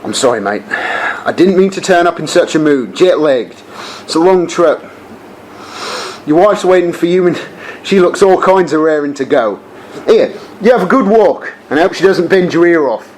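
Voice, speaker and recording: A man's voice: on the high side, animated, normal speed, a little hiss or hum, close to an everyday voice. An actor playing a bitter, blunt young Australian man with slangy speech in a radio play. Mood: apologetic, friendly. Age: young adult.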